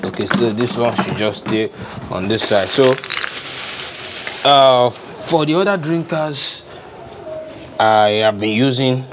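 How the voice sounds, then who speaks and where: A man's voice: medium pitch, a little hiss or hum. A young man with a Nigerian accent, giving an informal, unscripted hands-on demonstration step by step. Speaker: DIY farming instructor. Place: open-sided poultry house with concrete floor. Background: music.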